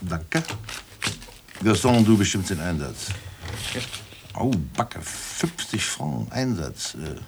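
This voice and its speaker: An actor playing a put-upon, fussy radio announcer who grumbles and repeats himself, in a radio drama. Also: male voice, on the low side, big pitch swings, takes it slowly, vocal fry, pauses often, noisy background, full character voice. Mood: brisk, fussy.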